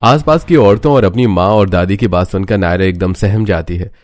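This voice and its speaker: A man's voice: low voice, moderate pace, darkish tone. A man narrating a scripted cartoon family story.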